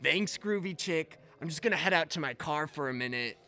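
A man's voice: a little high, expressive, brisk, pushed and bright. A man playing a laid-back young stoner video-store clerk who drawls in slacker slang in an audio drama.

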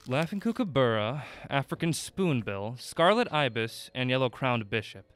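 A man's voice: medium pitch, big pitch swings, moderate pace, fairly bright tone, clear, resonant tone, no echo, faint music behind. An actor playing an agreeable, dry-witted man, a sidekick amateur sleuth, in a comic audio drama. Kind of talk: comedic audio drama acting.

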